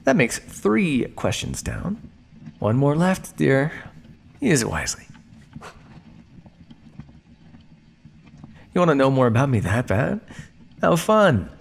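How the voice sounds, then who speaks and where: A man playing a condescending, coolly menacing vampire scientist in an ASMR roleplay, speaking to his captive in a measured, teasing way. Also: medium pitch, sing-song, creaky, a little hiss or hum. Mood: teasing, reflective. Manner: smug, measured, teasing, theatrical. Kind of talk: ASMR roleplay monologue addressed to listener.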